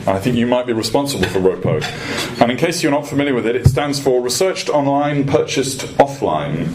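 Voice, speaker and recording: A man giving a prepared policy presentation to a room as a guest speaker, in a measured, lightly humorous manner with pauses. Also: medium pitch, lively intonation, crowd noise. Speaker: guest speaker, industry association executive. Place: event room at a dinner debate. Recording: clearly noisy live event recording.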